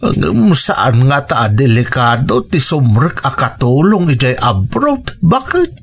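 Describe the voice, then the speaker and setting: A male actor plays an elderly pensioner father in a radio drama. His pitch is middling for a man. He talks plainly and frets over his family. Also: big pitch swings, takes it slowly, slightly rough, heavily acted. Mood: worried. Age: older. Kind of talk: Ilocano radio drama acting.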